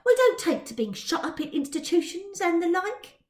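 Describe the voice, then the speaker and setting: Storybook street urchin, played by a woman: boyish Cockney rascal, boastful and chatty, very animated, echoey room. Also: extremely high pitch, normal speed, voice pushed up. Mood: friendly, cajoling. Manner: bragging, ungrammatical street slang. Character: cocky, street-smart young boy pickpocket, chatty Victorian rascal.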